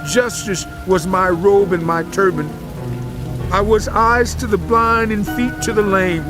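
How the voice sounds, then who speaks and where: An actor playing a suffering righteous man in a dramatized audio Bible, with solemn, impassioned, measured delivery. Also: sits high, poor audio. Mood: proud, wistful.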